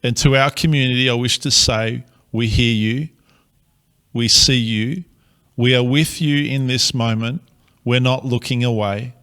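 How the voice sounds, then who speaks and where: A man with an Australian accent, a pastor giving a slow, earnest, measured address to his city. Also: medium pitch, fairly even intonation, fairly bright tone, smooth.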